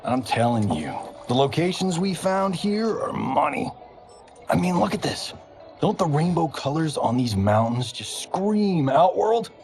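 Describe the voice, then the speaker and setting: A brash middle-aged movie-star fighter, all joking showbiz swagger. His pitch swings lively up and down, with soft music under him in a game cutscene. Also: measured pace. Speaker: actor turned film director.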